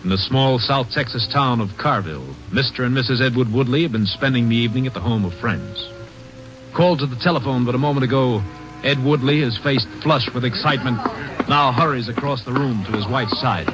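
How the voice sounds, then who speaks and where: An actor as the grave narrator of a vintage radio crime drama, reading scripted narration. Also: male voice, mid-range voice, a little fast, natural voice. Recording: dull old radio recording with music.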